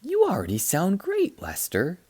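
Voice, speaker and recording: A man voicing a gentle, encouraging mother in a children's storybook, soft and reassuring. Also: high-pitched, wildly swooping pitch, measured pace, slightly rough, dry recording, pitched above the performer's own voice, exaggerated voice.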